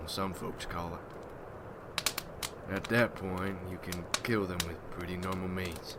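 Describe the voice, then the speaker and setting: A man playing a gruff, hardened vampire hunter in an ASMR roleplay, speaking in folksy rustic slang as he mentors a newcomer. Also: sits low, very noisy.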